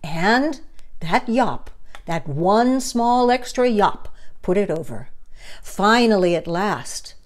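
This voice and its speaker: A woman storyteller reads a picture book aloud for children. Her mid-pitched voice moves very slowly, leaning on key words with dramatic emphasis. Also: expressive.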